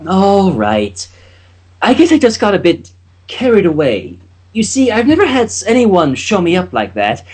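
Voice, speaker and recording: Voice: medium pitch, wildly swooping pitch, normal speed, darkish tone, slightly rough. A man voicing a smitten, flustered young female unicorn magician in a hesitant, intense way for a story reading. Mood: smitten, sheepish.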